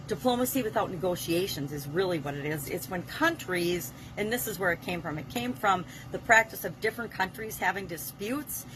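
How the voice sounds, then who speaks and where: A woman's voice: medium pitch, noisy background. A woman hosting an informal business advice monologue, explaining things with emphatic stress on key words. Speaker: host of a daily business advice series.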